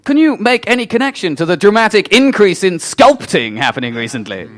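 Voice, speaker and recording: A man's voice: high voice, sing-song, fairly quick. A man playing a formal radio newsreader in a comic mock bulletin. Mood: formal, deadpan.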